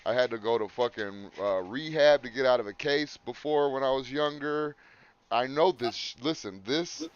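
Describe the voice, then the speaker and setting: A loud man co-hosting a podcast, rambling and swearing in casual chat. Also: medium pitch, normal speed, clean tone. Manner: rambling, swears often, laughs. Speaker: podcast co-host. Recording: dull lo-fi sound.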